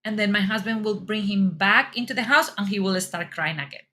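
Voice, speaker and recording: A woman's voice: mid-range voice, fairly quick, bright, forceful tone. A middle-aged woman with a Colombian accent giving parents reassuring advice and repeating her words often. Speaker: mom fitness coach. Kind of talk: casual advice talk.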